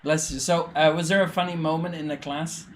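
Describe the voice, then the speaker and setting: A man hosting a casual live interview, relaxed and encouraging toward his guest. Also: fairly high pitch, fairly quick, slight background noise. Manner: relaxed, encouraging, says "bless you" often. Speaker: host. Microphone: video call.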